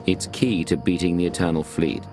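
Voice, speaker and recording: An actor playing a composed imperial agent in a video game, measured and businesslike, with a gravelly voice. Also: male voice, sits low, music in the background, lightly acted. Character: composed male imperial agent, outsider field commander. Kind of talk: dramatic video game dialogue.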